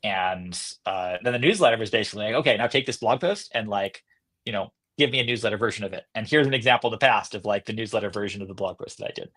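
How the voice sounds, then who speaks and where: A man's voice: on the low side, fast, fairly bright tone. A man speaking casually as a company executive guest in an interview, feeling his way through his answers as he talks.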